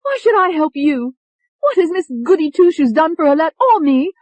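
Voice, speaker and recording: High-pitched, brash young Englishwoman. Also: big pitch swings, normal speed, dry recording. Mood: furious, defiant. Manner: sharp, taunting, forceful. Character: brash, defiant, contemptuous young woman alter from London. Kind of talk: dramatized audiobook dialogue.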